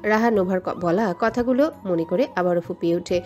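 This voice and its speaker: A woman's voice: lowish voice, a little fast, darkish tone. Woman narrating romance over music. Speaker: story narrator. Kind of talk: scripted romantic story narration.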